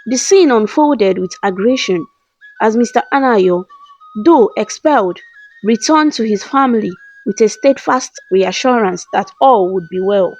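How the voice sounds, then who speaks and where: A woman's voice: on the high side, animated, unhurried. A woman storyteller reading a scripted folktale in a dramatic, formal manner. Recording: slightly dull lo-fi voice-over. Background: soft background music with flute.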